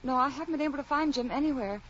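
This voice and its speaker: An actress playing an earnest, emotional wife in a melodramatic radio drama. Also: female voice, sits high, racing, fairly bright tone, muffled recording. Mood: worried, reassuring. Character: anxious, loving pregnant wife, later sad and reflective narrator. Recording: vintage radio drama with music behind.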